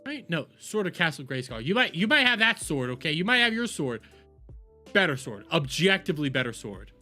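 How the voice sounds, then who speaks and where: A man's voice: a little high, big pitch swings, brisk, bright, forceful tone, faint music behind. A man hosting a reaction livestream, giving opinionated commentary in an emphatic, ranting way and repeating phrases for stress.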